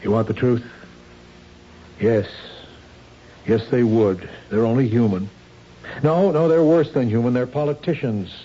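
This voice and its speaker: Actor as a dark, husky-voiced practical husband. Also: male voice, medium pitch, measured pace, long pauses, lightly acted. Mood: frank. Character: reassuring, practical husband of a troubled woman. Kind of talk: 1970s radio drama acting. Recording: dull lo-fi old radio recording.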